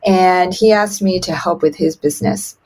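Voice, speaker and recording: A woman's voice: lowish voice, normal speed, darkish tone, rough recording. A woman in her thirties, raised in China with Mandarin as her first language, telling her life story as a podcast guest, acting out quotes with big jumps in her voice.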